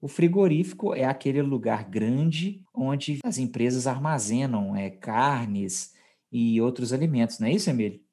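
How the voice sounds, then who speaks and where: A man's voice: mid-range voice, animated, normal speed, warm tone. A Brazilian man hosting a podcast language lesson, explaining conversationally and checking in with his co-host. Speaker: podcast host teaching Portuguese.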